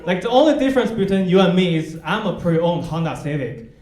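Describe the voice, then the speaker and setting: A young man from China with a Chinese accent doing a self-mocking stand-up set on a comedy club stage. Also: high-pitched. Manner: deadpan, self-mocking, with pauses for laughs. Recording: echoey club with loud crowd noise. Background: audience laughter.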